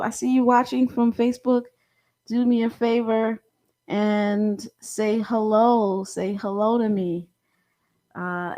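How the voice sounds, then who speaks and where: A woman hosting a live-streamed interview, speaking in a chatty, encouraging way. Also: mid-range voice. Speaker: host.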